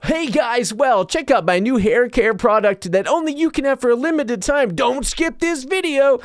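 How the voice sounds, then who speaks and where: A man's voice: extremely high pitch, normal speed, dry recording, studio quality, pitched above the performer's own voice. A man voicing a chirpy, pushy social media influencer gushing sales patter in a comedy podcast bit.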